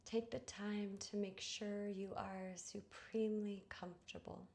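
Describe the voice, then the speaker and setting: A woman guiding a meditation in a soothing, hushed manner, with breathy phrase endings and long pauses. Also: medium pitch, flat delivery. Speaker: yoga nidra guide. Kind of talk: guided meditation narration.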